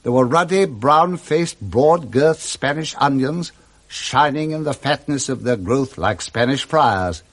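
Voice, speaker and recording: A man with a British accent giving expressive scripted narration of a classic story in 1960s radio style, relishing each detail. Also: medium pitch.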